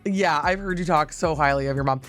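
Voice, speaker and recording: A woman's voice: sits low, fairly quick, soft background music. A woman hosting a radio interview in a casual, chatty way with informal, eager phrasing. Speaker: radio show host interviewing a guest.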